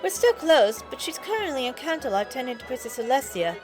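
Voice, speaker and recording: A woman playing a kindly, plain-spoken pony barmaid in an audio drama, folksy and gently protective. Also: sits high, sing-song, a little fast, over music. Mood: chatty.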